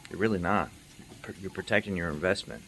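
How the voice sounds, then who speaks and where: Low-pitched male mechanic, middle-aged, off-the-cuff and emphatic, with noticeable background noise. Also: quick pace, fairly bright tone. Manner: emphatic, repeats points for stress. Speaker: car maintenance video host, mechanic. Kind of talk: casual unscripted monologue recap.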